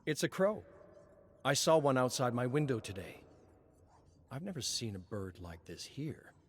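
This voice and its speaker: A man voicing a quiet, earnest young girl with an innocent, plain way of speaking, in a story reading. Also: mid-range voice, sing-song, unhurried. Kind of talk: dramatic storytelling.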